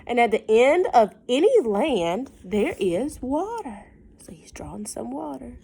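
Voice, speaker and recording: A woman reads a storybook to little kids at a slow pace. Her pitch moves in lively swings, and she often steps out of the text to explain things simply or ask the listeners a question. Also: gravelly, slightly rough. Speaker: teacher reading a picture book aloud to children. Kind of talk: children's read-aloud with casual asides and questions.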